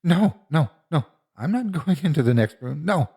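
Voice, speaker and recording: A man's voice: medium pitch, sing-song, normal speed, darkish tone, breathy edge. A man voicing a frightened, tearful ten-year-old boy who pleads and bargains in a story. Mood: panicked. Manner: anguished, pleading.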